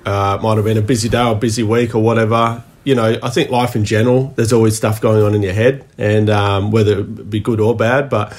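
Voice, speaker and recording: A young father, talking things over in a measured, reflective way with his partner. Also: on the low side, steady tone, a little mellow. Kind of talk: casual couple chat.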